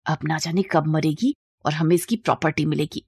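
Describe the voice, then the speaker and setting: Woman as scheming aunt, lowish female voice, greedy, two-faced, impatient, theatrical storybook delivery, dry recording. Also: fairly even intonation, brisk, darkish tone, slightly rough, dry recording. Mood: scheming, annoyed. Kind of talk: moral-story acting.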